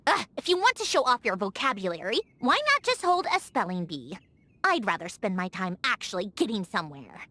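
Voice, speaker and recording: An actress voicing a haughty, prickly young genius lady automaton in a video game, huffy and easily flustered, with a secretly soft heart. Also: mid-range voice, very animated, fairly quick, dry recording. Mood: exasperated, ranting. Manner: haughty, huffy, flustered when caught being kind. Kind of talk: scripted video game character voice acting.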